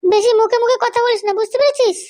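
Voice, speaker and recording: A man voicing a bossy, short-tempered middle-aged cartoon wife with a West Bengal accent and a pitched-up voice. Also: fast, a little mellow, dry recording, studio quality. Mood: scolding. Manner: scolding, threatening. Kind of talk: comic cartoon dialogue.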